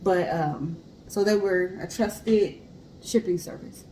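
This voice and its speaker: A woman co-hosting in an expressive, chatty way, telling stories casually. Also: on the low side, slow, slight background noise, poor audio. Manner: chatty, expressive, acts out imagined remarks. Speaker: co-host. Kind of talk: casual chat and informal storytelling.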